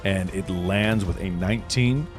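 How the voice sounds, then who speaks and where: Male game master, lower register, vivid improvised storytelling with dramatic description, light music underneath. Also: measured pace. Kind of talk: improvised tabletop game narration.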